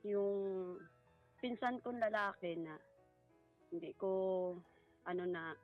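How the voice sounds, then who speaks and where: A woman with a Filipino accent giving a personal account in a halting, polite way, as a guest in conversation. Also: mid-range voice, narrow, tinny audio. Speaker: guest recounting a family story. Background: music.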